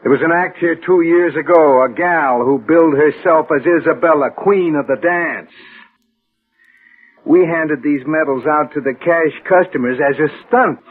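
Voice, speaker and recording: A breezy, skeptical male theater boss, played by an actor, talks in a husky, colloquial, dismissive way. The scene is 1960s radio drama with a muffled, narrow sound. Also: fairly high pitch, normal speed, soft background music, lightly acted. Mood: amused, dismissive. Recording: muffled old radio recording.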